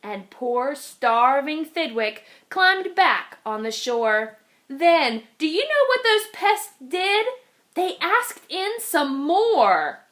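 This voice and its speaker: Female storybook reader, high voice, very animated and dramatic, slow deliberate delivery for young children. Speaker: children's read-aloud reader. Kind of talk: expressive storybook read-aloud.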